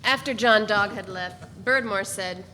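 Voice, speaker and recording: A woman reading the narration of a novel aloud in a measured, reflective way at a live dramatic reading. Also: mid-range voice, sharp tone. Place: large echoey event space. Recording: long hall reverb, faint room noise.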